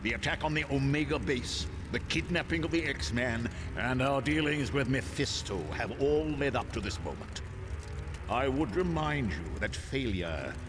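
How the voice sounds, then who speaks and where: Loud music surrounds a menacing supervillain mastermind, played by a man in a superhero game. His mid-pitched delivery is formal, grandiose and commanding. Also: lively intonation, normal speed, close to an everyday voice. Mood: commanding, stern. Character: imperious, menacing armored male supervillain mastermind. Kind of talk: villain acting in a superhero game.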